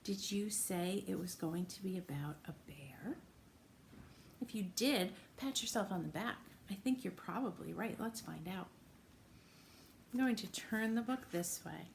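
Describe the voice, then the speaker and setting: A woman's voice: medium pitch, animated, a little slow, gravelly, slight background noise. A woman reading a storybook aloud to young children, encouraging them and putting questions to them directly as friends. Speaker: children's read-aloud presenter.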